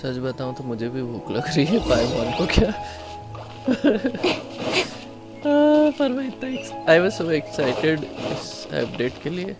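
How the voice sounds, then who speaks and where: A man's voice: on the high side, big pitch swings, racing. A man with an Indian accent, a native Hindi speaker, casually commentating on a game livestream and mixing in English. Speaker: game live streamer. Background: loud game music.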